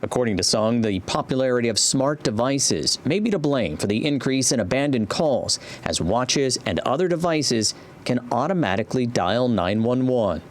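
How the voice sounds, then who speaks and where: A male news reporter with an American accent delivers scripted narration. His voice sits at a middle pitch, and a little ambient noise runs underneath. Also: lively intonation, moderate pace.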